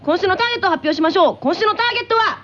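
A woman's voice: very high-pitched, animated, racing, a bit bright, highs cut off. A woman hosting a radio show, moving between scripted presenting and casual banter.

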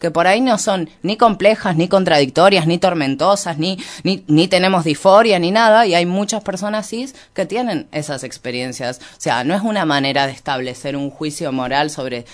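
A man with an Argentine Rioplatense accent explains his ideas in an unscripted interview, halting and reflective, often correcting himself. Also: on the high side. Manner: halting, reflective, frequent hedging and self-correction. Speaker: interview guest, activist and researcher.

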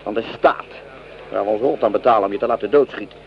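A man's voice: lowish voice, fast talker, creaky, a little hiss or hum. A man playing a hungry, mocking French common soldier in a Dutch radio drama, with coarse, sneering complaints. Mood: bitter scorn. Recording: dull lo-fi radio play recording.

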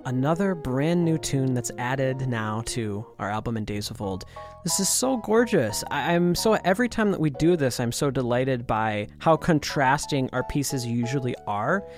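A man's voice: mid-range voice, animated, normal speed. A man co-hosting a casual chat about music, enthusiastic and laughing often. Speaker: co-host.

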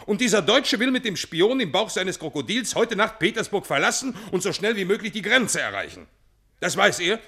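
An actor playing a gruff, pompous Russian general in a German radio play, barking clipped questions and orders. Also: male voice, sits high, big pitch swings, brisk, sharp tone, exaggerated voice. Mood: incredulous, probing.

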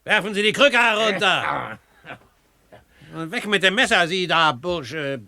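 Older pirate commander, menacing and imperious, raised forceful voice, dry radio-drama recording. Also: male voice, sits high, sing-song, a little slow, creaky, dry recording, heavily acted. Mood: commanding, menacing. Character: commanding old pirate captain, cold and menacing. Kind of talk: radio play acting.

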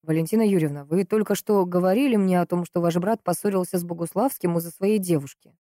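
Female narrator as male detective: polite, doubtful, measured questioning, mellow tone, crime audiobook, dry recording. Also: on the low side, no echo, close to an everyday voice. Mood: probing. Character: male police investigator, polite, sceptical, somewhat puzzled.

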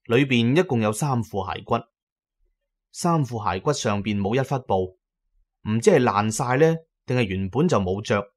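A man with a Hong Kong Cantonese accent delivers scripted first-person narration for an audio drama. His voice sits at a medium pitch, and he tells the adventure tale as a storyteller. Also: animated, moderate pace.

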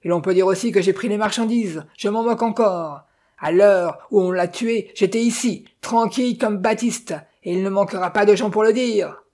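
A reader voicing a huge grizzled brute of a coachman in an audiobook novel, gruff, growling and bellowing, with a violent temper. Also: medium pitch, moderate pace, a little mellow, pitched above the performer's own voice, lightly acted. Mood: furious, defiant.

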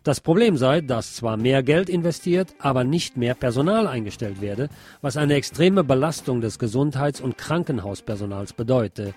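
A man presenting scripted news items in a measured, enumerating way, as a radio presenter. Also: mid-range voice, expressive, a little mellow, breathy edge.

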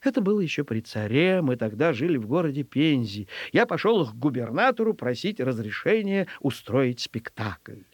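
A man reading a children's story in the first person with an expressive, theatrical delivery. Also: medium pitch, moderate pace, few pauses, slightly rough, no room sound. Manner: theatrical, animated. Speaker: narrator, reader of children's stories.

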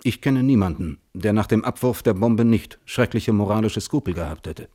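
An actor playing a guarded, reflective physicist on the witness stand in a German radio play, answering tersely and precisely. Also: male voice, lowish voice, normal speed, mellow, lightly acted. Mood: troubled, reflective. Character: measured, guarded male physicist testifying as witness. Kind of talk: German radio play acting, hearing testimony.

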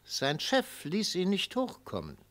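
A florid, gleeful old prosecutor in a German radio play. His voice sits a little high and moves in big, theatrical swoops. He orates slyly, relishing each turn of phrase. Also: wildly swooping pitch, a little slow, a bit bright, exaggerated voice. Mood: dramatic, insinuating. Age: older.

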